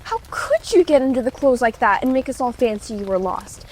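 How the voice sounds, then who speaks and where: A woman's voice: on the high side, expressive, brisk, a little mellow, slight background noise, natural voice, low-quality recording. A woman voicing an angry, scolding nursemaid in a dramatized storybook reading, rude and exclamatory. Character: angry, scolding nursemaid, shrill and easily flustered.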